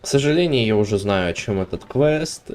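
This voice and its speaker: A man's voice: fairly low pitch, lively intonation, fairly quick, a little mellow. A man who is a native Russian speaker with a Russian accent, casually commenting on a game as he plays. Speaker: let's play streamer.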